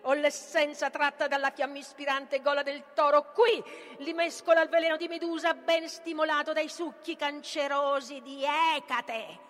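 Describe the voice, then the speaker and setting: A woman playing a vengeful, malevolent sorceress mother in a theatrical tragic monologue, with a declamatory delivery that moves between fierce cries and breathy whispers. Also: sits high, little pitch movement, normal speed, hardly any pauses, fairly bright tone, slight background noise. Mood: fierce, exultant. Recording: music behind the voice.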